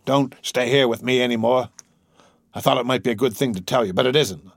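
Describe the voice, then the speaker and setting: A man voicing a lonely, excitable little old man in an audiobook, with a thin, shaky, halting delivery. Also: medium pitch, dry recording, clean studio sound, lightly acted. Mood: weary, dismissive. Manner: halting, stammering, repeating words.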